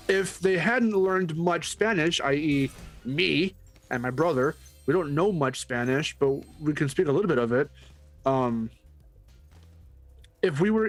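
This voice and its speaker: A man from the United States chatting on a stream, rambling and joking with frequent restarts. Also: medium pitch. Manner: rambling, jokey, restarts often. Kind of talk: casual chat.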